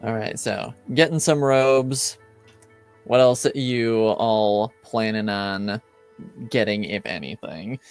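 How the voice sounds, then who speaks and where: A man narrating a tabletop game as game master in a casual, improvised, halting way. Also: fairly low pitch, clean tone, faint music behind.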